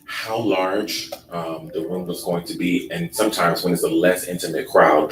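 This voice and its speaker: A man giving a relaxed workshop presentation in a conference room, with a sleepy, laid-back, unhurried delivery full of ums. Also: sits low. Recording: echoey room from far off with noise.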